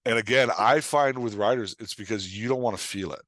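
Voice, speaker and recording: A man's voice: on the low side, brisk, a bit bright, no room sound. A man chatting casually as a podcast guest and writing coach, emphatic, often repeating his phrases and acting out imagined remarks. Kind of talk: casual interview chat.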